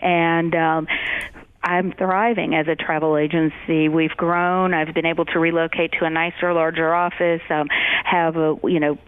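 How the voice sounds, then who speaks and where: A woman's voice: fairly low pitch, smooth, no room sound, narrow, tinny audio. A woman from Georgia, whose first language is German, talking in a chatty way about her travel agency, with many fillers and restarts. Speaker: travel agency owner, guest. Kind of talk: radio interview, casual.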